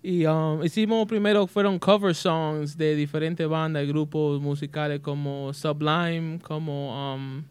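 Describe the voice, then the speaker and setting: A man's voice: a little high, level tone, unhurried, few pauses, smooth, no room sound. A man, a musician guest on a radio show, answering in hesitant Spanish with restarts and bits of mixed in. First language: English. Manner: hesitant, restarts words, mixes in English. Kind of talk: casual radio interview. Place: radio studio.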